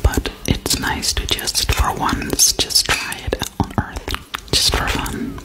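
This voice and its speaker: A woman whispering close to the mic as a gentle female alien visiting Earth, in a slow, soothing, repetitive ASMR role-play, recorded indoors in a small room. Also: lightly acted. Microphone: large studio mic held very close.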